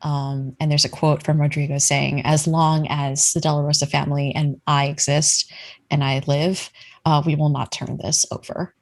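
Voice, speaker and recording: A woman's voice: low voice, little pitch movement, normal speed. A woman game master improvising narration for a tabletop game in a casual, conversational way.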